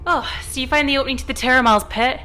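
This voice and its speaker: A woman plays a brisk sidekick in a sci-fi audio drama. She is flippant and casual, with a fairly high, bright, sharp voice over faint music. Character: brisk, flippant female helper in sci-fi adventure. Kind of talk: amateur audio drama acting.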